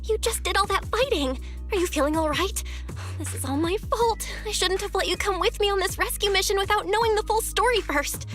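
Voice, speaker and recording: A woman's voice: very high voice, fairly quick, fairly bright tone, faint music behind. A woman voicing a caring, impulsive young girl in a video game. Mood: worried.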